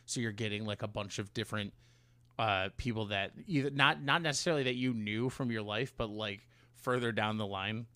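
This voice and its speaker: Low-voiced man, halting and rambling. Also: pushed and bright, dry recording. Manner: rambling, halting, self-interrupting; casual swearing. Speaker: podcast co-host. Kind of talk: casual chat.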